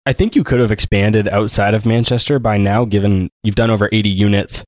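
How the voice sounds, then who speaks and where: A man's voice: on the low side, steady tone, brisk, darkish tone, no room sound, clean studio sound. A man hosting a podcast interview, asking his guest direct questions and speaking plainly to his listeners. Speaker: podcast host.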